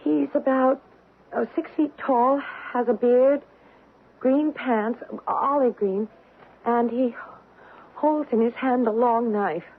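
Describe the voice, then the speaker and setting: Young American female witness, breathy, highish voice, halting, earnest, radio play, tinny vintage sound. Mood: hesitant, earnest. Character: hesitant young woman witness describing a ghost. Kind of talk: 1970s radio drama acting. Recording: tinny, narrow old radio.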